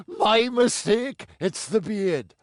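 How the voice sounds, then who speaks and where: A man's voice: high-pitched, no room sound, exaggerated voice. A man playing a jolly, tipsy, eccentric inventor in a fantasy game, theatrical and self-amused.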